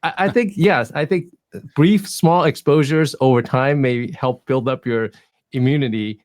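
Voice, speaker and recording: A man's voice: mid-range voice, measured pace, a little mellow. A man in his fifties, from Taiwan, talking in a casual panel discussion as a clinician guest, thoughtful and hesitant, often correcting himself. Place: studio.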